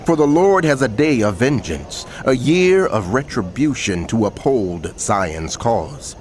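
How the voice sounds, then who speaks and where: A man narrating dramatized scripture in a solemn, emphatic, declamatory manner. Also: lowish voice.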